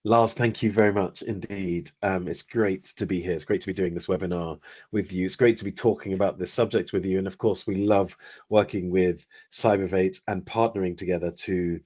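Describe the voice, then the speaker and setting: A middle-aged man with a British accent talks in a husky, lowish voice. He is articulate and measured, with a dry, understated wit. He is a guest on a webinar over a video call. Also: steady tone, darkish tone. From United Kingdom. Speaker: company founder, guest. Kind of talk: webinar interview answers.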